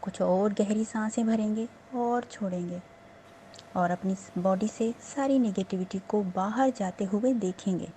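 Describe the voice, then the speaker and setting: A woman with a soft-edged, mellow voice at a medium pitch leads a guided meditation. She gives instructions slowly and soothingly, leaving pauses between phrases. A faint layer of background noise sits behind her. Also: poor audio. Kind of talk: slow guided meditation narration.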